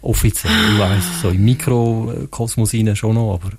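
Husky Swiss podcast host. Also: male voice, fairly low pitch, moderate pace, no room sound. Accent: Swiss German. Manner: relaxed, repeats words, friendly wrap-ups. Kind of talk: casual interview and show outro.

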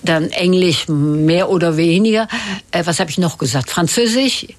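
An older woman from Italy, chatting as an interview guest and pausing often with fillers and restarts. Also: fairly low pitch. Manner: hesitant, many fillers and restarts. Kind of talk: casual interview talk.

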